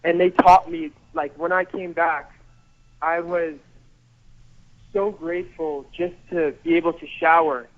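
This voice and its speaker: A man's voice: fairly high pitch, steady tone, a little slow, long pauses. A man in his thirties, a photographer guest, talking earnestly and rambling a little, repeating words as he goes. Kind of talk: casual interview over the phone. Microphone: phone. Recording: tinny phone line.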